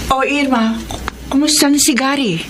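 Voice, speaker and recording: A woman's voice: high-pitched, steady tone, normal speed, loud music behind the voice, lightly acted. An actress playing a kind, concerned middle-aged mother in a radio drama, speaking with motherly sympathy.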